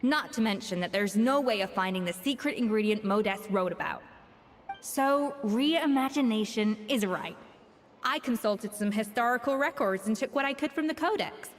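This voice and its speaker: A woman's voice: a little high, animated, normal speed, big-room sound, a little hiss or hum, lightly acted. A woman voicing a composed female head chef who speaks in a matter-of-fact, dryly dismissive way in a game.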